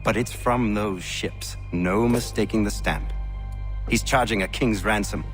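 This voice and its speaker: Low-voiced, serious colonial tradesman played by a man. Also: measured pace, faint music behind, lightly acted. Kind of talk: dramatic game cutscene acting.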